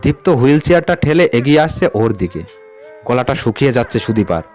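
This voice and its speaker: A man's voice: medium pitch, expressive, a little fast, a little mellow. A man hosting and narrating a horror audio story in a dramatic storytelling style. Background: music under the voice.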